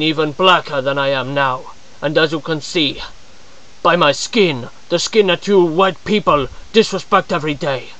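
An indignant, ranting man, as a performer puts him on, airing grievances at the viewer in a bright, sharp-edged voice. He peppers every complaint with "man" and "I mean" and climbs into high, shouting exasperation. The recording sounds dull and lo-fi. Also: natural voice. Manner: aggrieved rant, frequent "man" and "I mean" fillers. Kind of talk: comedic character monologue to camera.

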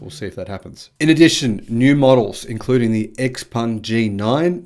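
A man's voice: fairly low pitch, darkish tone. A man in his forties hosting a news channel, presenting scripted commentary with emphatic phrase openings that drop away at the ends. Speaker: host of an electric car news channel. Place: small furnished home room.

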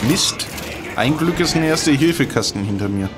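A man's voice: on the high side, animated, normal speed, over loud music. A man giving casual, dry, joking commentary as he plays a video game. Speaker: let's player commenting on gameplay.

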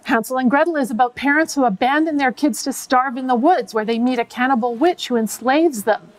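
A middle-aged woman, giving scripted educational narration in a measured, precise way as a professor. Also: high voice, poor audio. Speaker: professor presenting a web series.

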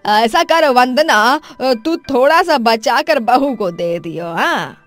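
A woman's voice: fairly high pitch, lively intonation, takes it slowly, faint music behind. A woman playing a dismissive middle-aged mother-in-law in an acted family story, with a colloquial, chiding way of talking. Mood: evasive. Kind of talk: acted dialogue for an animated moral story.

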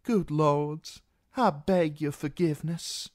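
A man voicing a broken, blinded young singer in an audiobook, pleading mournfully in a cracked, halting whisper of a voice. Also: a little high, voice pushed up. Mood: abject, tearful.

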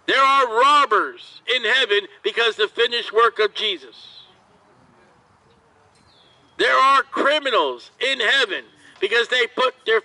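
A man preaching in the open air at a street market, with slow, drawn-out delivery, heard from a camera across the street. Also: extremely high pitch, bright, forceful tone, poor audio.

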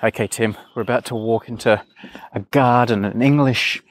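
A man's voice: mid-range voice, fairly even intonation, normal speed. A man chatting casually as a podcast host. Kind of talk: casual chat.

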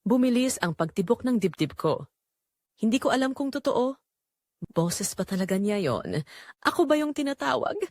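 A woman's voice: a little high, big pitch swings, moderate pace, long pauses, darkish tone, no room sound, studio quality. A woman narrating a scripted first-person audio drama in short, dramatic, suggestive phrases.

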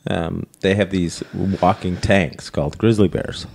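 A man's voice: low voice, steady tone, measured pace, vocal fry, darkish tone. A man co-hosting a podcast in casual chat, joking and swearing freely. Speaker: podcast co-host.

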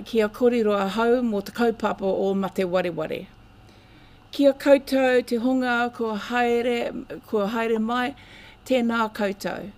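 An older woman with a New Zealand accent and a croaky voice, giving an earnest public talk as a researcher at a lectern mic in a meeting room. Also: a little high. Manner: measured, earnest, with long greetings in Māori. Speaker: guest speaker, researcher. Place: community meeting room, indoors.

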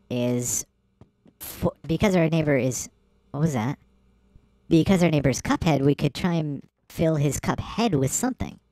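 A woman hosting a let's play, giving excitable, playful game commentary with gasps and shrieks at surprises. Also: low-pitched, no room sound.

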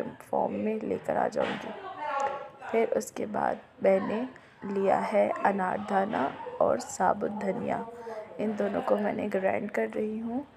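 A middle-aged Pakistani woman, a little unwell, giving an informal step-by-step recipe voice-over with hesitant pauses. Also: medium pitch, vocal fry. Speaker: home cook and video host. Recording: noticeable background noise, home recording.

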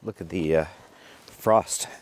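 A man's voice: low-pitched, slow pace. A man walking outdoors as co-host, chiming in with short comments and questions. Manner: brief interjections and questions. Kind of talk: casual conversation. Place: outdoors on a cold hillside.

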